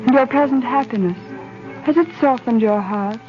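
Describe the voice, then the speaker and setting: An actress playing a refined, tender countess, formal and plaintive, in 1930s radio drama style. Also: female voice, on the high side, normal speed, natural voice. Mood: wistful probing. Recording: muffled 1930s radio recording over music.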